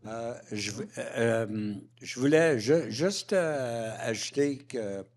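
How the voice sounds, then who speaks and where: A man's voice: mid-range voice, expressive, slow pace, a little mellow. A man making impromptu panel remarks, repeating and restarting his phrases often as he argues his points emphatically. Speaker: roundtable panelist.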